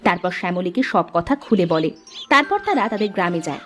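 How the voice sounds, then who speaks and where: A woman's voice: lowish voice, lively intonation, fairly quick, fairly bright tone, soft background music. A woman reading scripted narration for a children's storybook cartoon.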